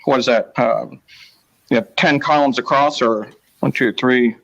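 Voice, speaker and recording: A man hosting a casual live show, reading things out in short, halting phrases with pauses between them. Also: medium pitch, fairly even intonation, creaky, a bit bright, muffled recording. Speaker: host of a live newspaper read-along.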